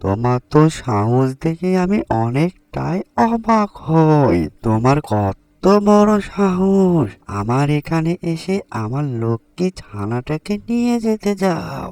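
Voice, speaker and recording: A man's voice: fairly high pitch, very animated, slow, a little mellow, no room sound, voice pushed up, full character voice. A man voicing a protective father bird in a cartoon, doting yet scolding, with emphatic phrasing. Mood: angry, scolding. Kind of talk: Bengali cartoon voice acting.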